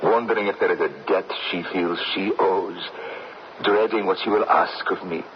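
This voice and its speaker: A man's voice: sits low, steady tone, normal speed, close to an everyday voice. An actor playing a solemn, formal, guilt-ridden middle-aged gravedigger and father in an old radio drama. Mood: solemn, resigned. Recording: dull, noisy old radio broadcast.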